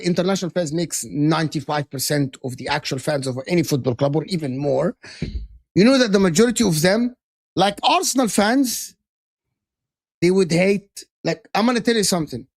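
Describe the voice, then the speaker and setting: A man with a notably high voice pressing his case in a football argument. He is emphatic and insistent, circling back to the same points, and his voice sounds dry and close, with no room around it. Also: expressive, normal speed, creaky, dry recording. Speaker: football podcast co-host. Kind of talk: heated casual football debate.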